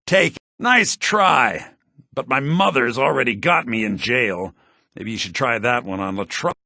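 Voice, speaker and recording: A man's voice: mid-range voice, bright, forceful tone, no echo, studio quality, heavily acted. An actor playing a sly, evasive rich man's son in jail in a fantasy video game, wry and slippery as he bargains. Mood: cagey, bargaining.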